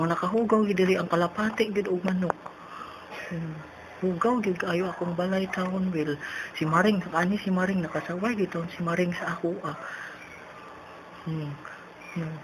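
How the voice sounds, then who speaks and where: A woman voicing a forgetful elderly neighbour with a Cebuano accent, halting and repetitive on a prank phone call. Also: on the low side, close to an everyday voice. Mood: hesitant, muddled. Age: older. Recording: noisy phone-call recording.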